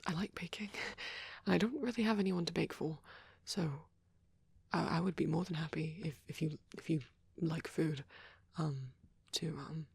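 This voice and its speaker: A man playing a shy, devoted vampire boyfriend in an intimate ASMR roleplay, gentle, hesitant and stammering, with a breathy voice. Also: a little high, fairly even intonation, normal speed, dry recording, full character voice. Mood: bashful, tender. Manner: hesitant, stammering, soft and rambling.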